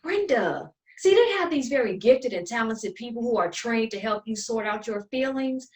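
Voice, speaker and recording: Actress for condescending, polished sister in a stage dramedy; highish female voice, sarcastic scolding, slightly distant mic. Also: animated, moderate pace, close to an everyday voice. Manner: condescending, sarcastic, enunciated scolding with sugary endearments. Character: haughty, sharp-tongued older twin sister, polished and condescending. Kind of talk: dramatic play reading.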